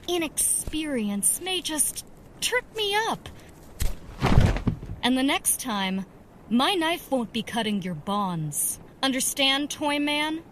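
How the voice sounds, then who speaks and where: A woman's voice: high voice, a bit bright, slight background noise, heavily acted, rough recording. A young woman acting as a brash, headstrong vigilante in an audio drama, taunting and eager to prove herself. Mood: threatening.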